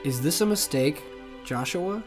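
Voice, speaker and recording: A man playing a nervous, earnest, soft-hearted young Santa in a Christmas story. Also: animated, measured pace, soft background music. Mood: puzzled, concerned. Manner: muttering to himself, hesitant, booming out ho-hos. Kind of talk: character acting in a Christmas audio story.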